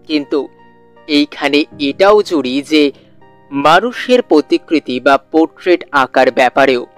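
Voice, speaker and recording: Slow, measured male story narrator. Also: fairly high pitch. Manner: measured storytelling with deliberate pauses. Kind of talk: scripted literary narration. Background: soft music.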